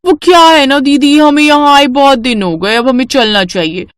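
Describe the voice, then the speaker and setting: A woman's voice: sits high, moderate pace, no room sound, clean studio sound, voice pushed up, lightly acted. A woman voicing a sly scheming aunt. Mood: falsely sweet. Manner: suspicious, sly, feigns innocence. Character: scheming greedy young aunt by marriage. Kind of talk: cartoon voice acting.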